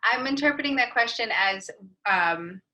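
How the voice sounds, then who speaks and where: A woman giving conversational expert advice on a panel, in a measured, articulate, instructive manner. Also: a little high, expressive, bright, forceful tone, low-quality recording. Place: online video call.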